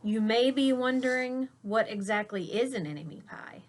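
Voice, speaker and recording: A woman reading a picture book aloud to children like a teacher, expressive, with emphasis and hushed dramatic moments. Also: fairly high pitch, normal speed.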